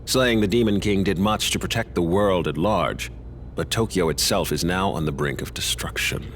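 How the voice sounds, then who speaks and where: A gravelly, grave middle-aged leader. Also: male voice, sits low, a bit bright, slight background noise. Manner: grave, deliberate, authoritative. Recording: game music faint behind.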